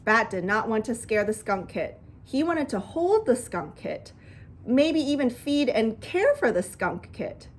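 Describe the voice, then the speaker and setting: A woman reading a children's book aloud in an expressive storytelling style. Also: on the high side, measured pace. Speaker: storybook reader.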